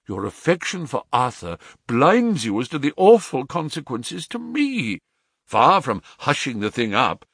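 Man doing an agitated middle-aged banker, insistent, emphatic, lively delivery, dry sound. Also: medium pitch, slightly rough, no echo, full character voice. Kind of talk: audiobook reading.

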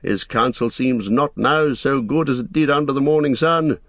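A man's voice: medium pitch, normal speed, a bit bright, no echo, pitched above the performer's own voice. A man reading the part of a proud, weary old king who speaks with grave, stately resolve. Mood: defiant.

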